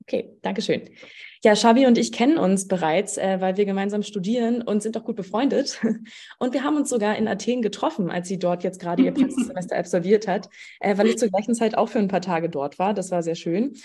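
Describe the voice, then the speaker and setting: Mellow-voiced woman hosting a podcast. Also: medium pitch, fairly quick, slightly rough. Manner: friendly, conversational, with some fillers. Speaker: podcast host from a university international office. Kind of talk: casual interview chat.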